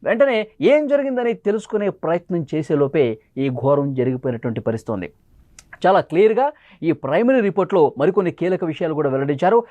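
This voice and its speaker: A man delivers dramatic news commentary. He talks quickly and leans hard on key phrases, often repeating them for emphasis. The sound is dry, with almost no room in it. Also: a little high, sing-song, dark tone, no echo, dull audio. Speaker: news presenter.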